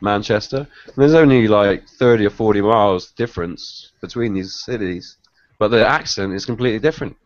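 A man's voice: on the low side, brisk, no echo. A man with an English accent chatting casually on a video call, jokey and talkative. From England. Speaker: call participant. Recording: dry, dull video call audio.

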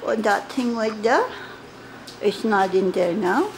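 A woman's voice: medium pitch, animated, slow pace, slight background noise, rough recording. A woman voicing an anxious young male dog who talks in a whiny, childlike, pleading way. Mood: scared, pleading. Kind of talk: pet voice-over monologue.

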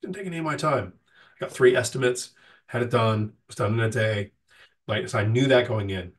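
A man's voice: medium pitch, fairly quick, far from the mic. A middle-aged man with a sick, nasal voice, casually answering live chat questions as host, with frequent ums and restarts.

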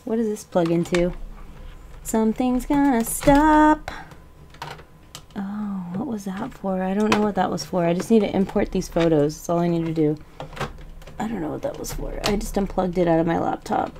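A woman's voice: medium pitch, moderate pace, dark tone, a little hiss or hum. Rambling self-mocking woman on livestream. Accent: Arkansas. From Arkansas. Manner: rambling, self-mocking, talks while moving things around. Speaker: livestreaming doll collector. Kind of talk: casual livestream chat. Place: small bedroom full of dolls. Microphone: webcam mic, often off-mic moving around.

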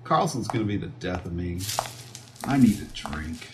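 A man's voice: deep voice, big pitch swings, moderate pace. Man acting a scheming mad scientist, wildly swooping voice. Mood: irritated, smug. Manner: muttering to himself, theatrical, swinging between glee and panic. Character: scheming, foul-mouthed, paranoid male mad scientist, gloating. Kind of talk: audio drama acting, sci-fi monologue. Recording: roomy echo with background noise.

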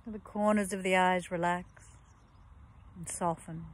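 Slow, soft-spoken woman guiding relaxation. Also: lowish voice, steady tone. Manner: slow, soft and soothing, with long pauses. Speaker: yoga teacher. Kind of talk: guided yoga nidra relaxation.

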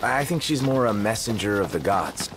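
Lowish-voiced laconic young prince. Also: male voice, sing-song, moderate pace, noisy background. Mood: reflective. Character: laid-back, laconic young prince in fantasy game.

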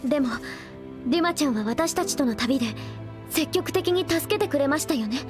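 A gentle young noblewoman in a game cutscene, with a high, light voice. She speaks softly and politely, and faint game music sits behind her. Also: lively intonation, brisk. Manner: soft, polite, curious. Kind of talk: video game cutscene voice acting. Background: game soundtrack music.

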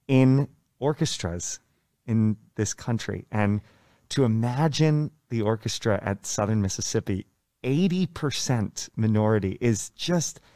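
A man's voice: on the low side, mellow, no echo. An American man hosting a conversational interview, speaking through a face mask into a close microphone. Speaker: podcast host. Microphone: close studio mic on stand.

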